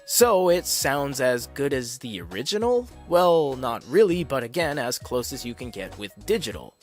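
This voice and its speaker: A man's voice: medium pitch, very animated, normal speed, soft background music. A man narrating a scripted product review as a tech reviewer, explaining technical terms plainly and dropping wry, casual asides.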